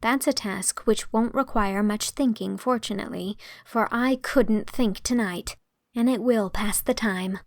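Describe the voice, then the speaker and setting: A woman's voice: on the high side, a little slow, no echo, studio quality. Woman playing a romantic, earnest young heroine. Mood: firm. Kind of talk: audiobook character reading.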